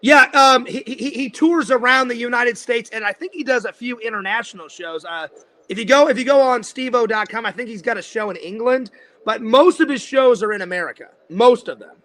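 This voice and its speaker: A man's voice: very high-pitched, a little fast, pushed and bright. A man in his forties hosting a casual interview, rambling and restarting his sentences. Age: 40s. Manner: rambling, repeats words and restarts often. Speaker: host.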